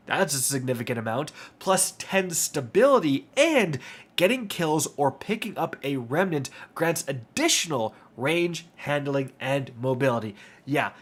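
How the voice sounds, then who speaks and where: Casting: male gaming commentator, slightly high-pitched, nasal from congestion, direct-to-viewer update delivery. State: congested with a stuffy nose. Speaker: gaming video creator and host. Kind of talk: upbeat game update commentary.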